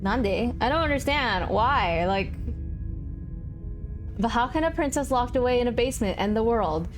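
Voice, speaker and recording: A woman's voice: fairly high pitch, animated, moderate pace. A woman livestreaming a game in casual, slangy chat, thinking aloud and reading choices out. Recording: stream with game music behind.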